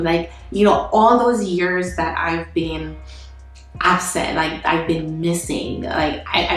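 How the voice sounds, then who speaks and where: A woman's voice: fairly low pitch, distant mic. A Jamaican woman vlogger with a nasal voice from a cold, telling a candid life update with lots of fillers and sighs. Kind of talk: casual life-update vlog. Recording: very echoey room, away from the mic, soft music behind.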